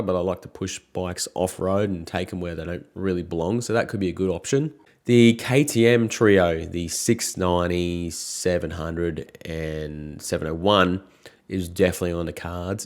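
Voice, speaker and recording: A man in his thirties, croaky from a cold, chatting casually and frankly to camera as a motorcycle vlogger. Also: deep voice, darkish tone.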